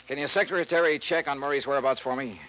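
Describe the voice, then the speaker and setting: Guy doing wry american radio detective, clipped and quick, 1950s show, muffled old recording. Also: medium pitch, close to an everyday voice. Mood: brisk, businesslike. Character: wry, brisk male American insurance investigator, cool and skeptical. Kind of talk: 1950s radio detective acting and first-person narration.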